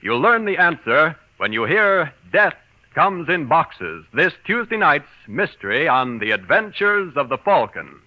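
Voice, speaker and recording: An American male announcer from the golden age of radio, reading his script in a fairly high voice with lots of movement in pitch. The recording is old and dull. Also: big pitch swings, measured pace.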